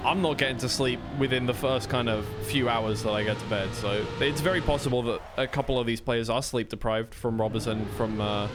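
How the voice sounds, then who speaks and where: Male esports caster over clear engine noise. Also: medium pitch, steady tone, a little fast, few pauses. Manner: rambling, self-correcting asides. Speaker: esports commentator. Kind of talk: live play-by-play commentary with casual filler chat.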